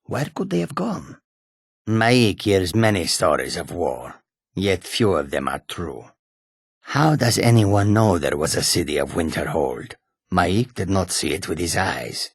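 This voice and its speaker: A man voicing a sly, whimsical male cat-folk wanderer in a video game, with a raspy, husky voice, deliberate clipped phrasing. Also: low-pitched, very animated, no echo, studio quality, exaggerated voice. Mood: wry, musing. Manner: speaks of himself in third person, deliberate, clipped phrasing. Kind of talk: video game character dialogue.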